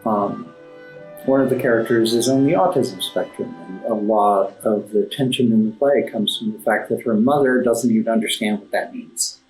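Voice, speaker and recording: A man giving conversational interview answers as a guest playwright, articulate and formally worded, often halting and repeating words for emphasis. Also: lowish voice, darkish tone. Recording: roomy echo, music underneath. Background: classical background music.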